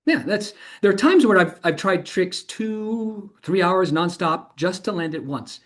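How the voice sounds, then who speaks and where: An actor playing an enthusiastic, informal university instructor in a conversational monologue, animated and rambling, often interrupting themselves. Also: medium pitch, a little slow. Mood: reflective, eager.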